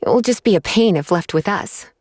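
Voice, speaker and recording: A woman playing a languid, teasing librarian mage in a game cutscene, unhurried and sultry in manner. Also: medium pitch, lively intonation, a little mellow, dry recording, clean studio sound, close to an everyday voice.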